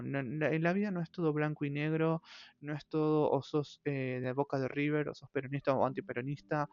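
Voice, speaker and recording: A man with an Argentine accent, hosting his channel in a thoughtful, hesitant personal monologue, pausing often on long fillers. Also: on the high side, a little mellow. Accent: Argentine Spanish. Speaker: channel host. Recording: dull lo-fi audio with faint music.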